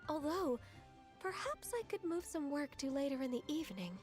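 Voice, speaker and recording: A woman voicing a dutiful, polite young secretary in a video game who speaks softly and earnestly. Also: high voice, big pitch swings, moderate pace, loud music behind the voice. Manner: soft-spoken, polite, earnest.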